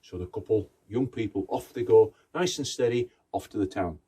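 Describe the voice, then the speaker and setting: A man's voice: on the low side, moderate pace, mellow, breathy edge. A man telling a folktale conversationally, in short phrases with rhythmic repetition. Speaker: Romani storyteller. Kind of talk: oral folktale storytelling.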